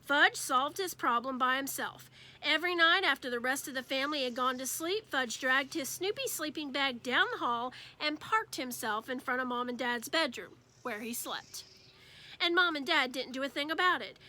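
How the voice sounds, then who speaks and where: High, bright-voiced woman reading aloud. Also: animated, normal speed. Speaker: read-aloud storyteller. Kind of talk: expressive children's book reading.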